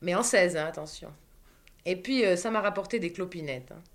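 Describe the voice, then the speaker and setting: A woman's voice: fairly low pitch, brisk. Wry, chatty French-speaking woman host. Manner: wry, conversational, many asides. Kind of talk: radio interview and commentary.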